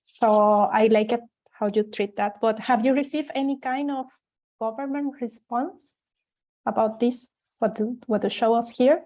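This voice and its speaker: A woman's voice: a little high, steady tone, unhurried, mellow, rough recording. A woman. She speaks English informally, as a participant putting a question to a panel. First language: Spanish. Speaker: audience participant asking a question.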